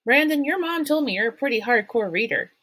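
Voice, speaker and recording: A woman's voice: high voice, lively intonation, brisk, pushed and bright, dry recording, voice pushed up, full character voice. Woman doing a breezy chatty mom. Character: cheerful breezy mother, a novelist.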